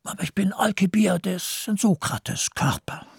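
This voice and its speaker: A man playing an ageing poet, proud and yearning, with a cracked, raspy voice in a radio play monologue. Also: high-pitched, expressive, a little slow, dry recording, studio quality, exaggerated voice. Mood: dreamy, yearning.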